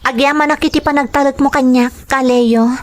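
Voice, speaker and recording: A woman acting as a young female rebel recruit with a high voice and an Ilocano accent. She is trusting yet anxious, played in the style of a Filipino radio drama. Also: steady tone, fairly quick, natural voice. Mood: trusting, uneasy.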